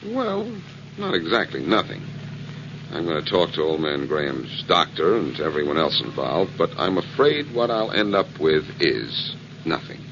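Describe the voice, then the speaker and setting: A man's voice: very deep voice, very animated, moderate pace, exaggerated voice. An actor playing a wry, persistent male amateur sleuth with a glib, needling manner in a 1940s radio drama. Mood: wry, resolute. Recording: noisy muffled 1940s radio recording.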